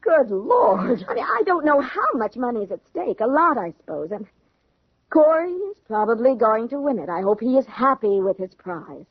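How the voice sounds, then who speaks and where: A woman's voice: on the high side, sing-song, moderate pace, slightly rough, no room sound, heavily acted. An actress playing a proud, emotional young woman in a radio drama, indignant and theatrical. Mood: bitter, weary. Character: proud, defiant, distressed young engaged woman. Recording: dull vintage radio recording.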